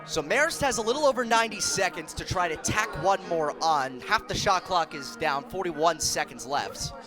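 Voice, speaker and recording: A man's voice: sits high, animated, moderate pace, music in the background. An American man in his twenties calling live play-by-play for a sports broadcast with a projected announcer delivery. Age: 20s. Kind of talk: live lacrosse television commentary. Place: outdoor stadium broadcast position. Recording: stadium crowd and outdoor noise. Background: crowd and stadium noise.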